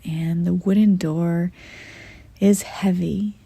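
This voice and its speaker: A woman softly narrating a guided visualization meditation in a gentle, breathy half-whisper, pausing often mid-sentence. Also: on the low side, fairly even intonation, no echo.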